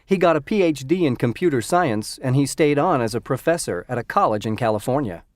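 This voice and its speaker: An actor playing a friendly young male university student in a scripted textbook dialogue, speaking clearly. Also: medium pitch, a little fast, no echo, clean studio sound, natural voice. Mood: chatty. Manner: lively, clearly enunciated. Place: recording studio.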